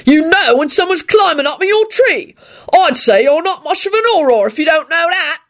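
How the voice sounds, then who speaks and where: A woman voicing an outraged, blustering male police constable in a narrated story, shouting indignantly. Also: very high-pitched, expressive, normal speed, voice pushed up.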